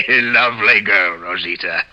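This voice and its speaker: A man's voice: fairly low pitch, heavily acted. An actor playing a mocking, boastful, hard-drinking nobleman in an old radio drama, taunting and condescending. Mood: sly taunting. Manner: taunting, chuckling, condescending, drawn-out hesitations. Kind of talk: 1950s radio drama acting. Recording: muffled 1950s radio recording, loud music.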